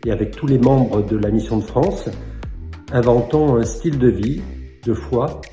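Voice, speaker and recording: A man's voice: medium pitch, measured pace, roomy sound, music in the background. A man solemnly sending out a team with formal exhortations. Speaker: church leader sending the team.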